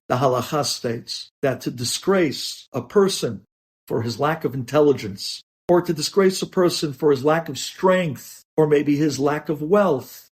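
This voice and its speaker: A man's voice: medium pitch, darkish tone. A man speaking as a rabbi giving a religious lesson, emphatic, with rhetorical questions and repeated phrases.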